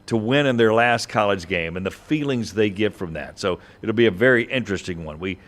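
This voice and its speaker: Low-pitched man hosting a sports podcast. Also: lively intonation, a little fast, a bit bright. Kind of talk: conversational sports interview hosting.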